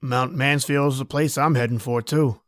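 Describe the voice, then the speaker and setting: Radio thriller stranger, played by a man: folksy, casual, insistent, quietly menacing, medium-pitched male. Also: steady tone, moderate pace, close to an everyday voice. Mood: casual, pushy. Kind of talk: old-time radio horror drama acting.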